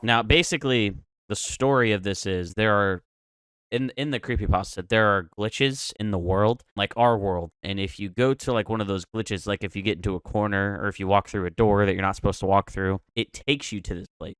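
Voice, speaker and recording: A man's voice: on the low side, a little fast, fairly bright tone, smooth, no room sound. A man giving casual, slangy, profanity-laced reaction commentary as a YouTuber. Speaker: YouTuber reacting to horror videos.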